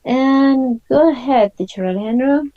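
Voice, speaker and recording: A woman's voice: high-pitched, unhurried, highs cut off. A woman, teaching an online language lesson and patiently explaining and repeating questions. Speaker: Spanish teacher.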